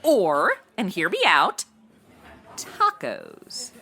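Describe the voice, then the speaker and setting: A woman's voice: on the low side, huge pitch swings, very slow, gravelly, over loud music, exaggerated voice. A woman playing a bubbly, ditzy young insurance seller in a comedy audio drama, with flippant, slangy Valley-girl chatter. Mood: eager, pleading.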